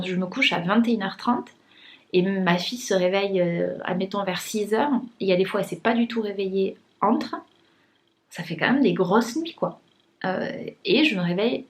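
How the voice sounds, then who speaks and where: A young woman talking casually to her vlog viewers, with many fillers and restarts and a blocked, congested nose. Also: mid-range voice. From France. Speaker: vlogger. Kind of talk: casual vlog chat to camera.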